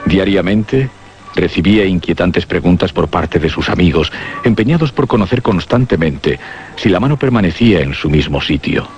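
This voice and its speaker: A man acting as the grave, deliberate narrator of a suspense and horror radio drama. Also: deep voice, animated, a little mellow, faint music behind. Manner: solemn, deliberate, theatrical. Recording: dull, noisy old radio drama recording.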